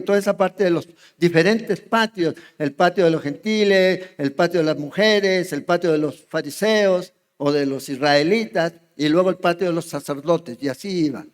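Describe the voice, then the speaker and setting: A man's voice: high voice, in a large hall. A man with a Chilean accent preaching an expository sermon, chatty, often checking in with his congregation. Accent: Chilean Spanish. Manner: conversational, checks in with the congregation, asks questions. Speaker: pastor. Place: church sanctuary.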